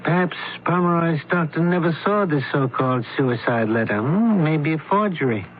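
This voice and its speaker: An actor playing a brisk, shrewd doctor turned investigator in an old-time radio mystery, questioning with clipped authority. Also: male voice, on the high side, lively intonation, natural voice. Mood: measured, shrewd. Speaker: investigator character. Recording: narrow, tinny old radio recording, hiss.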